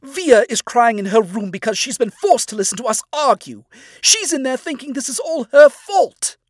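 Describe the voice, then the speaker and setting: A man's voice: fairly high pitch, very animated, a little slow, sharp tone, no room sound, clean studio sound, pitched above the performer's own voice, full character voice. A man voicing a stern demon owl father who accuses and scolds his son, his lines rising into anger. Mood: furious. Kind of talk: audio fan story reading.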